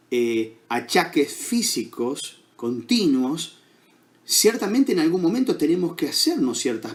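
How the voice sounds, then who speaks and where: A man with an Argentine accent preaching a sermon to his congregation at a slow, deliberate pace. His pitch swings widely as he poses question after question. He fills his pauses with little hums and checks in with tag questions. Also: darkish tone. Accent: Argentine Spanish. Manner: unhurried preaching with many fillers and tag questions. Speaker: pastor.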